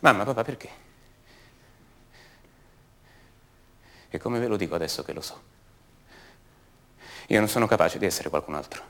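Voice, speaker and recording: An actor playing an anguished young son of a disappeared mother in a radio drama monologue, halting and repeating words with intensity. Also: lowish voice, lively intonation, bright, forceful tone. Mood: hurt, hushed. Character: anguished young son of a disappeared woman, raised by her captors.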